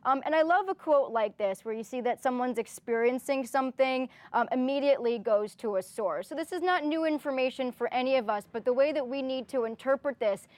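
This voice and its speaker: A woman's voice: high-pitched, fairly quick, hardly any pauses, darkish tone, dry recording. A woman giving an informal conference presentation in a conversational way, often checking in with the listeners with a "right?".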